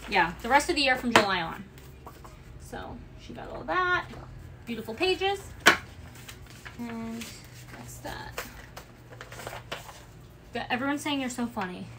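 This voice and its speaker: A chatty woman with an Italian-American Bronx accent talks casually on a livestream, reading out orders and viewer comments. Her voice sits a little high. Steady background noise and clicks from a small office fill the recording. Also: unhurried, long pauses. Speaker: livestream co-host packing orders.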